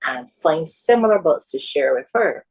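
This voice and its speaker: A woman's voice: deep voice, expressive, moderate pace, a little mellow, breathy edge. A woman hosting an interview, asking questions and giving brief, encouraging prompts. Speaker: interviewer host.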